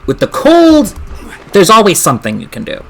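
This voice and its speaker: An American man chatting casually during a game stream, wry and quick to swear. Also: high voice, huge pitch swings, creaky. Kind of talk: casual chat while gaming on a live stream.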